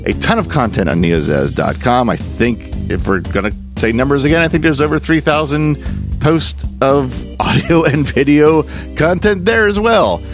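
A man's voice: fairly high pitch, lively intonation, normal speed. A man hosting a podcast, talking casually and partly off the cuff. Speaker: podcast host. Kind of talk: casual podcast sign-off, semi-improvised. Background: music.

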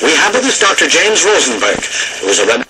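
A man's voice: a little high, very animated, fast talker. A man acting as a grave, formal network news anchor reporting on a war in a radio drama. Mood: grave, alarmed. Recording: noisy radio news broadcast.

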